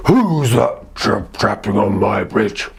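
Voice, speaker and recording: Man putting on a slow, husky, menacing bridge troll. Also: lowish voice, sing-song, voice pushed down, heavily acted. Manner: slow, drawn-out challenges.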